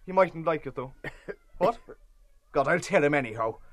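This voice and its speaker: A man's voice: a little high, animated, moderate pace, no echo, lightly acted. An actor playing a hearty, blustering, chatty Dublin man with an Irish accent and an exclamatory way of talking, in a dramatised novel. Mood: amused, confiding. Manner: exclamatory, colloquial, emphatic. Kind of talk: dramatised literary audiobook dialogue.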